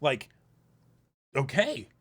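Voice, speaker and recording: A man's voice: medium pitch, wildly swooping pitch, drawn-out words, fairly bright tone, slightly rough. A man co-hosting a podcast, chatting casually in an ordinary mid-range voice. He rambles with eager energy and leans on "like" as he talks. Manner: enthusiastic, rambling, many "like" fillers. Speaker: podcast co-host. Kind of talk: casual chat.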